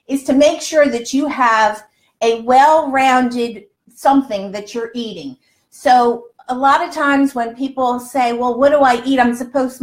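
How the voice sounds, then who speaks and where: Female, 60s, higher-pitched voice. Casual live cooking host talking to viewers, chatty, emphatic, folksy delivery. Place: home kitchen, indoors.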